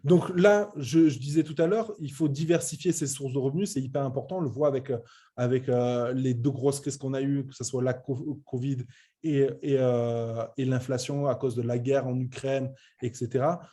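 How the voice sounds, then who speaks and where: Male, late 30s, soft dark tone, medium pitch, informal presenter who stresses points and restarts often. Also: steady tone, moderate pace. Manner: hesitant, repetitive, emphatic. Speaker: web entrepreneur presenting a training programme. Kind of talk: informal online business presentation.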